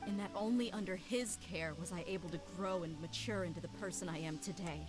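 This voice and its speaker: A tough, big-hearted female mercenary from a fantasy game, voiced by a woman. Her voice sits low and steady, sincere without fuss. Clear game music plays behind her. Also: brisk, close to an everyday voice. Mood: earnest. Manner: steady and earnest. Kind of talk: game voice acting.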